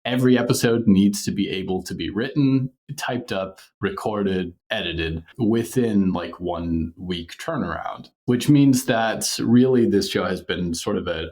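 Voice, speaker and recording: A man's voice: low-pitched, normal speed, few pauses, a little mellow. A man speaking as a podcast creator in a casual interview, explaining things thoughtfully and good-humoredly. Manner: chuckles often while explaining thoughtfully.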